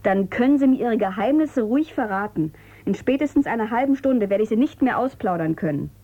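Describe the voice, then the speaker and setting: A woman's voice: on the high side, animated, brisk, dark tone, highs cut off. An actress playing a defiant, cool-headed girl of eighteen in a radio drama, with a pert, questioning manner. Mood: cool, probing. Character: defiant, cool-headed eighteen-year-old female space tourist. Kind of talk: German radio drama acting.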